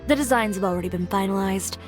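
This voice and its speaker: A woman's voice: mid-range voice, quick pace, natural voice. A woman voicing a composed, businesslike female fashion designer and shop owner in a game, dry and matter-of-fact. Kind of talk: game voice acting. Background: game music.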